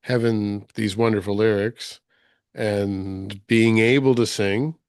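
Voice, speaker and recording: Gravelly smooth-voiced male host. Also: lowish voice, fairly even intonation, slow, darkish tone, no room sound, studio quality. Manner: conversational, loose rambling questions. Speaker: podcast host. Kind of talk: casual interview. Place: remote call.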